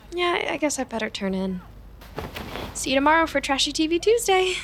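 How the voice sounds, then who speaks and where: A woman's voice: sits high, sing-song, moderate pace. An actress playing an anxious, twitchy young office worker in an audio drama, muttering and rambling nervously. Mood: awkward cheerful. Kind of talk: naturalistic audio drama acting. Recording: music underneath.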